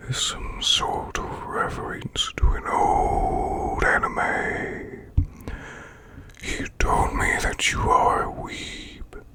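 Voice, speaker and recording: A man voicing a haughty demon CEO in an ASMR roleplay, with a breathy demonic growl and a formal, menacing yet soothing manner. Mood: smooth menace. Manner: slow, pompous, formal, deliberate.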